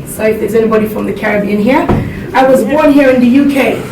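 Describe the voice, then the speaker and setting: A woman from Jamaica speaks earnestly to a seminar audience, her fairly high voice set back from the mic. The room is echoey, and a steady rumble runs underneath. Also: quick pace. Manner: earnest, laughs along with the audience. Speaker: motivational speaker. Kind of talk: motivational seminar talk. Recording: echoey hall, distant mic, background rumble.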